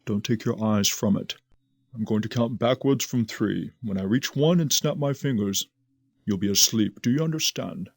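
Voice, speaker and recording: Dry-recorded, calm hypnotist doctor. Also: male voice, fairly low pitch, husky, dry recording. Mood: soothing. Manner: measured, soothing, commanding. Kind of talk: audio drama acting.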